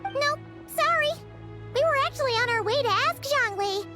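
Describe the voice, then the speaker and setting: Tiny squeaky fairy girl for a video game, super high n excitable, swoops all over, music behind. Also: normal speed. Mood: curious. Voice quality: squeaky, childlike. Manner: excitable, exclamatory, inquisitive. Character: tiny chirpy floating fairy girl companion. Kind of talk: cartoonish video game voice acting.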